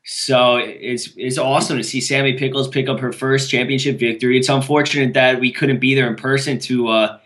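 A man's voice: mid-range voice, steady tone, fairly quick, hardly any pauses, a bit bright. A young man co-hosting a casual wrestling podcast, chatty and self-mocking, running through results with frequent restarts. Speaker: podcast co-host.

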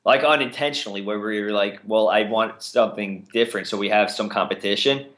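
A man co-hosting a casual podcast, chatting and making predictions in a relaxed way with frequent fillers. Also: lowish voice, fairly quick. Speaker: podcast co-host. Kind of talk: casual wrestling podcast chat.

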